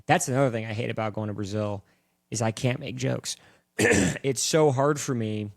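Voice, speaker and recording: A man's voice: mid-range voice, normal speed, vocal fry, dry recording, clean studio sound. A young man chatting casually as a podcast guest, using slang and swearing freely. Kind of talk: casual chat.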